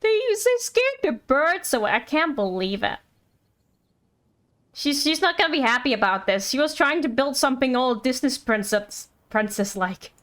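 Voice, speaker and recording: High-voiced woman streaming a game. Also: sing-song, fairly bright tone. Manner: rambling, halting, playful. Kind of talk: casual live gameplay commentary.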